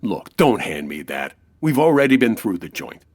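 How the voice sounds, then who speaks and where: Casting: tough crime boss, deep and husky, sardonic wisecracks, menace, radio-play comedy. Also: male voice, big pitch swings, normal speed. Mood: bargaining, threatening. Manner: clipped wisecracking tough-guy talk. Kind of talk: comedic old-time radio crime drama acting.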